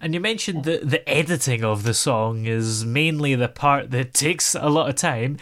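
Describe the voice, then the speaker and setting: A man's voice: mid-range voice, moderate pace, fairly bright tone. A man hosting an interview show, asking questions and giving short encouraging responses. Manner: brief encouraging backchannels. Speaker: radio host.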